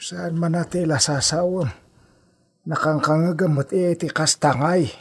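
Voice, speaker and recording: A man's voice: a little high, steady tone, measured pace, breathy edge. A man playing a wary, easily alarmed villager in a radio drama, fretful and exclamatory. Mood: exasperated.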